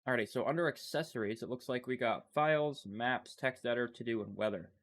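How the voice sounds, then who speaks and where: A man with a blocked, stuffy nose talks in a relaxed, unscripted way as he walks through software on screen. He thinks aloud, wonders about things and trails off mid-thought. His pitch sits in an ordinary middle range. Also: fast. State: stuffy, congested from a cold. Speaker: tech channel host. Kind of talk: casual screen-recording commentary.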